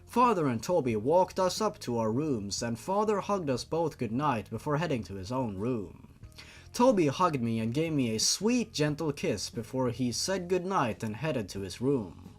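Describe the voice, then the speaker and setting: A man's voice: mid-range voice, very animated, moderate pace, breathy edge. Male fan fiction narrator: dramatic read, very varied intonation, faint music underneath.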